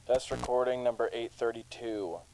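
A man's voice: medium pitch, fairly even intonation, normal speed, creaky, natural voice. A man playing a matter-of-fact research doctor logging a test in an audio drama, on a slightly noisy recording. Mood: businesslike. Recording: faint noise, clicking tape recorder.

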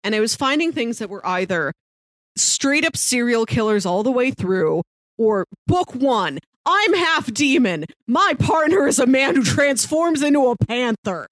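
A woman's voice: on the high side, big pitch swings, a little slow, fairly bright tone, no room sound. Dry-sounding woman in casual review chat. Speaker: podcast co-host.

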